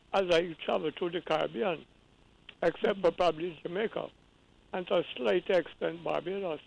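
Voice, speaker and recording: A man's voice: sits high, gravelly, low-quality recording. A man from Guyana calling in to a radio talk show, explaining at length with earnest repeated restarts. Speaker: caller.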